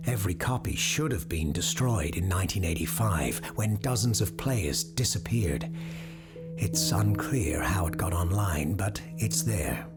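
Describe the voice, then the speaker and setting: A woman playing a grave, formal male parapsychologist who hosts a supernatural call-in show in a scripted audio drama, slightly defensive and measured, with a husky, breathy voice. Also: a bass voice, expressive, loud music behind the voice. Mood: grave warning. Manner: formal, measured, earnest with dry hesitations.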